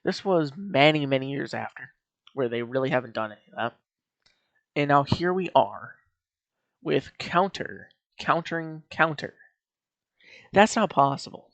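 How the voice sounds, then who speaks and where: A man's voice: medium pitch, lively intonation, a little slow, pauses often, fairly bright tone, no echo. Male video host, mid-range voice, informal and opinionated delivery, very dry sound. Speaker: video host giving opinions on an anime series. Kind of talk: casual commentary ranking a cartoon series.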